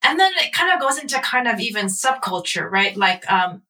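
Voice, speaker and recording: A woman's voice: mid-range voice, sing-song, brisk, pushed and bright, recorded from a distance. A woman from Los Angeles, talking reflectively and casually about identity on a podcast.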